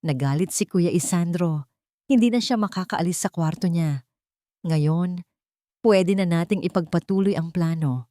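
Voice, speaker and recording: A woman voicing a secretive, scheming woman plotting on the phone in a hushed, conspiratorial manner in an audio love story. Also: on the low side, measured pace, no room sound, studio quality, natural voice. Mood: sly.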